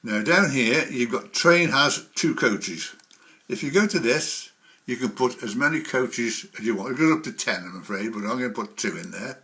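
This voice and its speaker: A man presents a casual software walkthrough in a medium-pitched voice. He talks in an informal, rambling way, working through each step as he explains it. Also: expressive, moderate pace. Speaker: presenter of a model railway software tutorial. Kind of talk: casual spoken screen tutorial.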